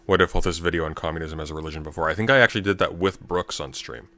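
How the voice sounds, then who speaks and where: Low-voiced man tossing quick asides. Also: pushed and bright. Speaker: streamer reacting to a video. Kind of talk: casual reaction commentary.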